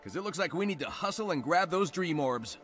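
A man's voice: fairly high pitch, lively intonation, a little fast, a bit bright. A man voicing a cocky, upbeat teenage hedgehog hero in a video game, breezy and wisecracking. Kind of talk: animated video game cutscene acting. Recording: music under the voice.

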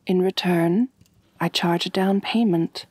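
A woman playing a refined, kind but possessive vampire mistress in a soft, intimate ASMR roleplay, gentle and doting, often near whispering. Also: lowish voice, fairly even intonation, a little slow, no echo. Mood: composed, confiding. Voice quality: breathy.

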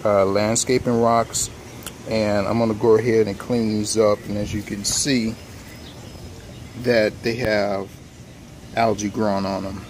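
A man with a lowish voice talks his way through a home project while filming it on his phone outdoors. He rambles easily, full of "um" and "you know", and there is a faint hiss of outdoor noise behind him. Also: a little slow, long pauses. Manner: relaxed, rambling, many fillers and restarts. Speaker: home improvement vlogger. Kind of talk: casual filming-as-he-works walkthrough. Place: outdoors in a home front yard. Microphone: handheld phone camera.

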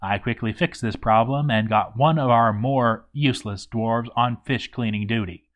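A man's voice: mid-range voice, moderate pace, fairly bright tone. A man reading a scripted game story in character, with wry, sarcastic asides. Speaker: narrator of a game story read-through.

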